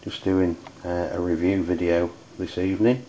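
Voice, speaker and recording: A man with an English accent giving an unscripted product review in a hesitant, halting way. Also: deep voice, fairly even intonation, warm tone. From United Kingdom. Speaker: amateur radio reviewer. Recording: dull lo-fi audio with background noise.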